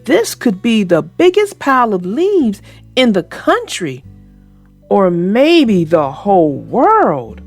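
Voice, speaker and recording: A woman voicing an excitable, wide-eyed young schoolboy in a children's storybook. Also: mid-range voice, slow, soft background music, full character voice. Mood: amazed.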